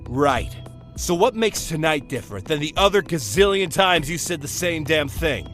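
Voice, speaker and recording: A man's voice: fairly high pitch, lively intonation, normal speed, fairly bright tone, soft background music. Man as a blunt, weary young tough guy. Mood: wary, sarcastic. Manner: blunt, slangy, fed up. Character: tough young street vigilante, weary son of a drunk. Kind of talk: comic-book audio drama acting.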